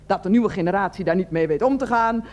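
An actress playing an older, self-righteous head of a residents' association in a radio play, wheezy, formal and repetitive. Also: female voice, medium pitch, fast talker, darkish tone, muffled recording, close to an everyday voice. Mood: indignant. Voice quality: wheezy, asthmatic breathing. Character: fussy, indignant older woman heading a residents' association. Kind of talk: Dutch radio play acting.

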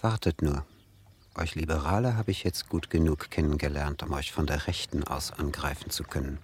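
An actor playing an ambitious young writer in a radio drama, earnest and questioning, with a calculating streak. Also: male voice, a bass voice, fairly even intonation, moderate pace, slight background noise. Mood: resolute. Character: ambitious young male writer, eager learner turning calculating.

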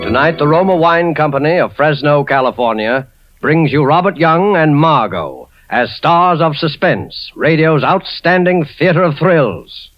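A man with a measured, dramatic manner introducing a 1940s radio suspense programme from a script. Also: on the high side, animated. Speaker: programme announcer. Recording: muffled 1940s radio recording, music behind.

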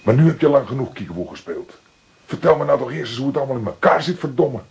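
An actor playing a young junior police detective with a Dutch accent, questioning and impatient, in a detective drama. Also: male voice, mid-range voice, lively intonation, quick pace, vocal fry, darkish tone, husky, heavily acted. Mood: frustrated. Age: young adult. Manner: probing, questioning. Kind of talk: dramatic detective dialogue acting. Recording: noisy track with background ambience.

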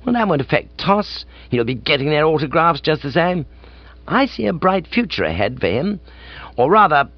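A man voicing an excitable, pompous upper-class English gentleman in a comic audiobook, breezy and self-satisfied. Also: fairly high pitch, moderate pace, dry recording, dull audio, pitched above the performer's own voice. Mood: excitable, exclamatory.

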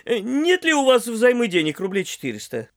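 High-pitched, scatterbrained young dandy official. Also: male voice, wildly swooping pitch, brisk, full character voice. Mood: amused, delighted. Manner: hesitant, rambling, with frequent interjections and chuckles. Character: scatterbrained young male visiting official, breezy, vain, cadging loans. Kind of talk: theatrical audio play comedy acting.

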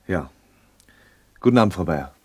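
A man playing an earnest, reserved colleague in a German radio play, speaking in a formal, measured, polite way. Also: low-pitched, lively intonation, darkish tone, breathy edge, no room sound.

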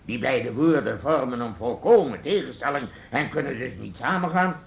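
A self-important burgomaster, acted by a man, who snaps and lectures in a gravelly, lively voice. The recording is roomy, with faint hiss in the background, like an old radio play. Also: mid-range voice, moderate pace. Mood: pompous. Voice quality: pompous, testy. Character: pompous irritable male burgomaster. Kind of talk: radio drama acting.

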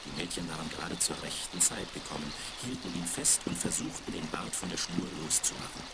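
A man's voice: a bass voice, fairly quick, vocal fry. A man reading a scripted fairy tale for children as the narrator of a radio play. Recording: crackly, very noisy 1970s vinyl record.

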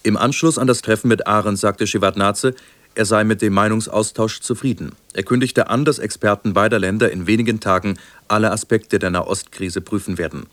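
A man with a standard German accent from Germany reads a formal radio news bulletin in a measured, matter-of-fact way. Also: fairly low pitch, fairly even intonation, fairly bright tone, no echo. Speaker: radio newsreader.

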